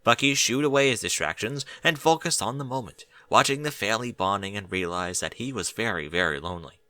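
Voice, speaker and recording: A man reading a story as a scripted, expressive audiobook narrator. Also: lowish voice, fairly quick, no room sound.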